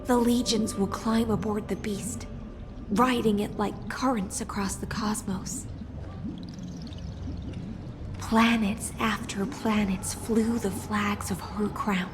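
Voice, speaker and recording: A woman voicing a poised, world-weary storyteller in a video game, theatrical and measured, with hushed phrase endings, over music. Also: mid-range voice. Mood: grand, solemn. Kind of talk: dramatic video game narration and monologue.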